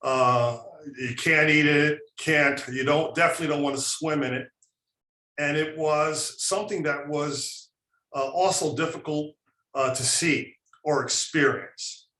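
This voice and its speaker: A man's voice: fairly high pitch, sharp tone. Reflective man in an echoey room. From Albany, New York. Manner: reflective, unhurried, many fillers. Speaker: panelist. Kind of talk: personal storytelling presentation. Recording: roomy echo.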